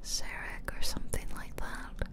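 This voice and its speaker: A woman whispering gently and chattily in ASMR style close to the microphone as she hosts a game playthrough. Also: measured pace. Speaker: gaming video host. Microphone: close microphone, ear to ear.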